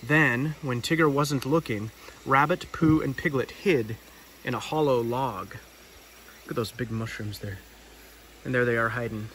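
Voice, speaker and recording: A man's voice: medium pitch, a little slow, fairly bright tone, a little hiss or hum, low-quality recording. A man hosting a read-aloud outdoors, narrating a storybook with casual asides. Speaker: storybook reader and video host. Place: outdoors by a river.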